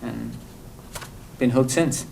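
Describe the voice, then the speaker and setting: A poet from El Salvador answering interview questions in a thoughtful, halting way. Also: male voice, medium pitch, creaky, a little mellow, a little hiss or hum, low-quality recording. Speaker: poet guest.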